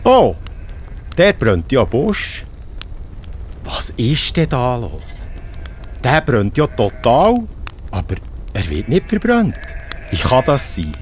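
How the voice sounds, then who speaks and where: An actor playing a humble, fearful shepherd in a children's audio drama, exclaiming in astonishment. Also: male voice, mid-range voice, huge pitch swings, takes it slowly, pauses often, a little hiss or hum. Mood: astonished.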